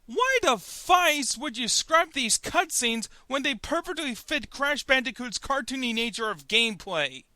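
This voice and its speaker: A man's voice: extremely high pitch, animated, unhurried, few pauses, sharp tone. A man hosting a web review show, giving scripted comedic commentary in an emphatic, mock-indignant manner.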